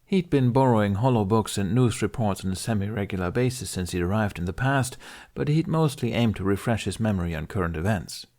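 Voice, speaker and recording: A man's voice: on the low side, lively intonation, fairly quick, a little mellow. A man reading a scripted science fiction story aloud as an audiobook narrator. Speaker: audiobook reader.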